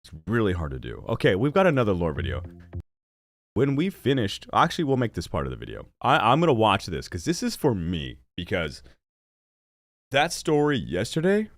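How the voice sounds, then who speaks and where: A young man streaming games and chatting casually, rambling and joking at his own expense, with a stuffy nose and hiccups. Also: normal speed. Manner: rambling, self-mocking, animated with sudden jumps in pitch. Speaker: streamer and gaming channel host. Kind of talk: casual live-stream chat.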